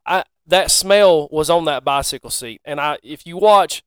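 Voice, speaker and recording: Folksy Southern man with a clear, highish voice. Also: moderate pace, no room sound. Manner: folksy, joking storyteller. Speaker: podcast co-host telling stories. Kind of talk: casual anecdotal chat.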